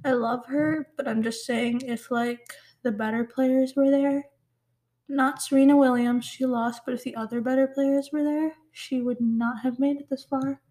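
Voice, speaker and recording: High-voiced chatty teen girl. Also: fairly even intonation, normal speed, warm tone. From Pakistani heritage. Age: teens. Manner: rambling, self-teasing, full of "like" and "um". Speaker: podcast host. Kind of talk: casual solo podcast chat.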